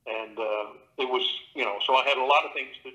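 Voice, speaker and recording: Man, middle-aged, actor and narrator, bright tone, theatrical storyteller, home studio. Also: medium pitch, steady tone, fast talker, highs cut off. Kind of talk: casual interview talk.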